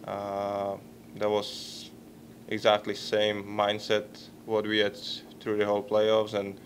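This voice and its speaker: A young man in his twenties with a Czech accent, answering postgame questions at a press table microphone, halting and searching for words. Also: lowish voice, completely flat delivery, slight background noise. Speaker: hockey player at a postgame press conference. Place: press conference room.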